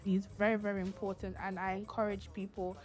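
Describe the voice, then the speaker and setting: A woman's voice: medium pitch, little pitch movement, a little fast, a little mellow, soft background music. A woman from Nigeria whose first language is Yoruba, speaking expressively in a documentary interview. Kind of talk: interview storytelling.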